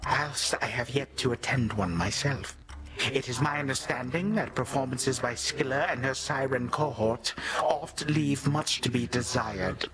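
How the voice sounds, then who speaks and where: A man's voice: medium pitch, unhurried, lightly acted, low-quality recording. An actor playing an ancient titan tyrant in a video game, pompous and sardonic, speaking in formal archaic phrases with menacing grandfatherly condescension. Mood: wry condescension. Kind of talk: theatrical video game villain acting. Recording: studio voice over game music.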